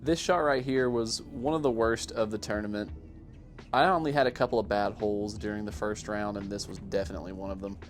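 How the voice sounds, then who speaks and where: A man giving a casual, self-deprecating voice-over commentary on his own amateur sports highlights in a matter-of-fact way. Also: fairly even intonation, brisk, slight background noise. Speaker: amateur disc golfer narrating own highlights.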